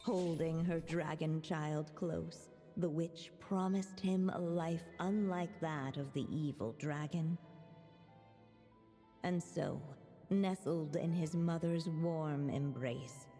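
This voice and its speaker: A woman voicing a calm, stately witch narrating a storybook tale in a video game, measured, solemn and literary. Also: deep voice, faint music behind. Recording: long hall-like reverb.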